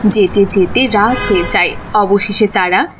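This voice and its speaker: A woman's voice: medium pitch, a little slow, slight background noise. A woman narrating a scripted children's cartoon story.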